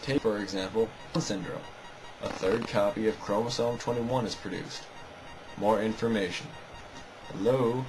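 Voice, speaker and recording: Male commentator, lowish pitch, argumentative and dismissive, scripted rebuttal read with clear music underneath. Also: steady tone, moderate pace, poor audio. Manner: sarcastic, dismissive. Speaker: video narrator rebutting another video.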